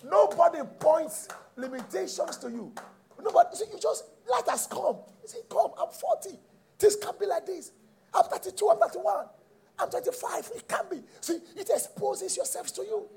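A Nigerian man preaches in a high, widely swinging voice. He sounds gravelly and drives each teaching point home with emphatic repetition, often turning to his listeners with questions. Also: big pitch swings, normal speed, a little mellow. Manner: emphatic, repeats key phrases, checks in with listeners. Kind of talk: teaching sermon.

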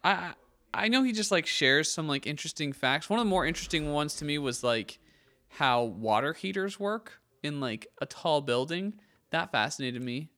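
A man's voice: a little high, expressive, normal speed, bright, forceful tone. An American man chatting casually as a co-host on a podcast. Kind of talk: casual chat.

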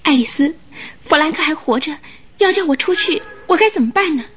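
A woman's voice: high voice, expressive, fairly quick. An actress playing an anxious young bride in a radio drama, pleading and fretful as she confides. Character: anxious young bride torn between two husbands.

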